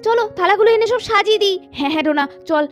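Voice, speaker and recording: A woman as a very high, bustling doll housewife. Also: very fast, soft background music. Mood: reassuring. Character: bustling young village doll women of a household. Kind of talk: children's doll-story cartoon voice acting.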